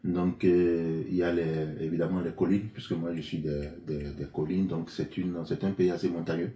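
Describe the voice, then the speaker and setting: A man's voice: sits low, level tone, normal speed, mellow. A man from Benin, an interview guest, answering in a hesitant conversational way with many fillers and restarts. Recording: echoey room, faint noise.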